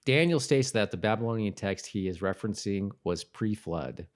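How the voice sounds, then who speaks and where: A man co-hosting a podcast in casual chat, talking in a halting, hesitant way and correcting himself. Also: fairly low pitch, lively intonation. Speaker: podcast co-host. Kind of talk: casual chat about TV show trivia.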